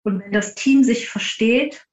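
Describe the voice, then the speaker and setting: A woman's voice: a little high, a little slow. A woman answering interview questions conversationally as a podcast guest, in a roomy and slightly muffled recording. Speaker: podcast guest, team founder. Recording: roomy, slightly dull sound.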